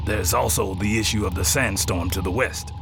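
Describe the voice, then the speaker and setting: A man's voice: on the low side, normal speed, creaky, over music. A man playing a tense, curt, no-nonsense military captain in a sci-fi audio drama. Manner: curt, commanding. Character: gruff, tense, no-nonsense male dropship captain. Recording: vehicle engine noise behind.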